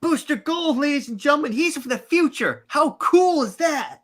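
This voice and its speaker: A man's voice: very high-pitched, expressive, moderate pace, a bit bright, pitched above the performer's own voice. A man voicing a peppy robot sidekick, gushing like an announcer hyping up a superhero. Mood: excited, boastful.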